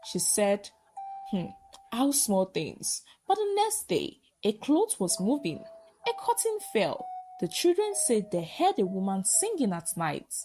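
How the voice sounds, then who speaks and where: Nigerian woman storyteller with very animated, swooping pitch. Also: a little slow, soft background music. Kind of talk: scripted dramatic folktale narration.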